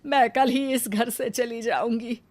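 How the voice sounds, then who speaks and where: A woman playing a sharp-tongued, stingy older mother-in-law in a cartoon moral story. Her voice sits fairly high, with plenty of scolding and sarcasm. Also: fairly even intonation, a little slow, close to an everyday voice. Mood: resigned. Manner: scolding and sarcastic, bitter asides.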